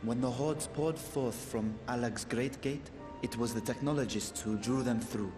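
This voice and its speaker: An actor playing a grave, cautious sage in a video game, with solemn, formal and archaic diction. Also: male voice, mid-range voice, normal speed, music in the background, lightly acted. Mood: grave, questioning. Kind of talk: scripted video game dialogue.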